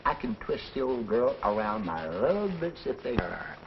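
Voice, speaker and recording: An actor playing a tipsy, boastful, grumbling boarder who rambles in folksy, ungrammatical talk, in 1940s film style. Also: male voice, mid-range voice, sing-song, moderate pace. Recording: muffled, noisy 1940s film soundtrack.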